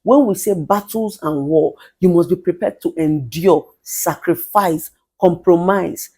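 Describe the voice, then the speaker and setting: A woman's voice: lowish voice, measured pace, breathy edge. A middle-aged Nigerian woman, a relationship therapist, giving emphatic advice with an authoritative, commanding voice and bits of Pidgin. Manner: forceful, emphatic, mixes in Pidgin phrases. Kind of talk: motivational advice monologue.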